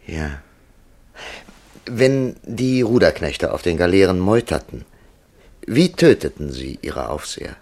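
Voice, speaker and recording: An inquisitive male narrator in a German radio drama. The voice is very low and creaky, with lively swings of intonation, and it tells the tale in a measured literary manner, recorded dry and close. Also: dry recording, heavily acted. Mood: probing, quiet.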